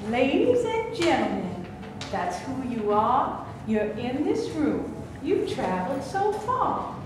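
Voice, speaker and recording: Woman doing a airheaded blonde starlet, high bouncy voice, flirty and full of herself, echoey room w/ noise. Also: very animated, slow, full character voice. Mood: chirpy.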